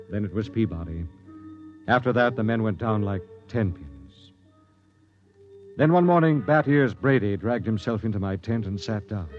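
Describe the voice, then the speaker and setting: A man's voice: on the low side, darkish tone, close to an everyday voice. Man doing an old radio captain narrator, earnest and urgent, kinda dull lo-fi with faint music. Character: expedition captain narrating, grim and driven. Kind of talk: 1950s radio drama narration.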